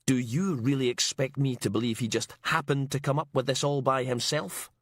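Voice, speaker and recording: A man's voice: medium pitch, steady tone, moderate pace, no room sound, voice pushed up, lightly acted. Blustering middle-aged police inspector, played by a male narrator, hot-tempered and accusatory, for an audiobook crime story, recorded dry. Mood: exasperated. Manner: shouting, accusatory, sputtering. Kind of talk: audiobook character acting.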